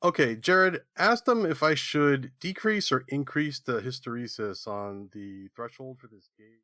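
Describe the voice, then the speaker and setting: A man's voice: medium pitch, measured pace, sharp tone, no echo, clean studio sound. A man playing a harried half-banana gnome audio editor who makes puns in a comedy audio drama. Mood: hapless, punning.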